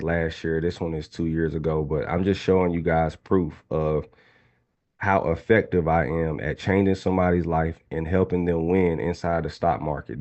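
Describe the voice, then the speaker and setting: A man's voice: low-pitched, a little mellow, lo-fi sound. A man in his twenties from Atlanta, casually teaching a live online trading class and talking to the chat. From Atlanta, Georgia. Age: 20s. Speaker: trading instructor.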